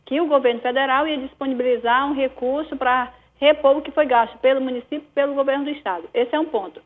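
A woman mayor answering interview questions, hesitant, with many restarts and fillers, in a Brazilian Portuguese accent. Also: high voice. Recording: tinny phone line.

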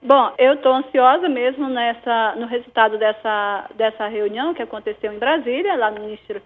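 Brazilian woman mayor on the phone, high voice, tinny line, keeps restarting and saying "é". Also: normal speed. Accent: Brazilian Portuguese. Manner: hesitant, many restarts and fillers. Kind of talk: phone interview answers.

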